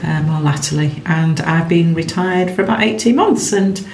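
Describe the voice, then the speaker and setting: A woman's voice: lowish voice, moderate pace, darkish tone. A middle-aged woman with a strong Yorkshire accent, a retired careers advisor chatting with friends, jokey and full of restarts. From England. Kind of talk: casual group chat. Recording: echoey room, slightly distant mic, faint hiss.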